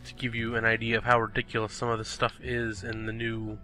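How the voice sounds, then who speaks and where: A man's voice: medium pitch, monotone, fairly quick, bright, forceful tone. A young man giving casual, chatty gameplay commentary, thinking aloud and exclaiming at the game, a bit sniffly from a cold. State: sniffly, recovering from illness. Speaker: gaming video host. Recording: faint background music. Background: background music.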